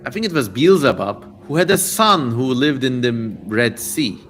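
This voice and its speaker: A man's voice: mid-range voice, big pitch swings, normal speed, a bit bright. Irreverent man livestreaming, some background noise. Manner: irreverent, tangential, sweary. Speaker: livestream host and reader. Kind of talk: casual livestream commentary.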